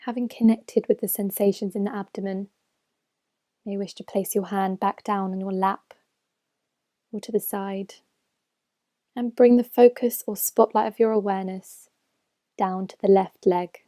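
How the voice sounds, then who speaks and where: Soothingly mellow woman guiding meditation. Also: mid-range voice, steady tone, no room sound, clean studio sound. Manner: gentle, measured, unhurried instruction with soft phrase endings. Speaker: mindfulness meditation teacher. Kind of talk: slow guided meditation narration.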